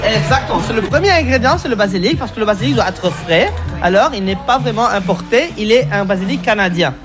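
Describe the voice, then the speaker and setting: A woman's voice: mid-range voice, expressive, quick pace, over music. A woman guiding a food tour in French, which is not her first language, who quizzes her listener and keeps confirming with "exactement" in a pizzeria. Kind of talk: explaining to a radio reporter on a tour. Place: pizzeria restaurant indoors.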